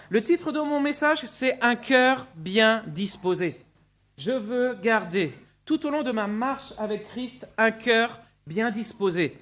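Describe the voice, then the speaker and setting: A man from Brittany preaching as a guest pastor in a church, telling his testimony with frequent repeated words and earnest pauses. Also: very high-pitched, fairly bright tone. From Brittany, France. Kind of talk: sermon with personal testimony. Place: church sanctuary with mezzanine.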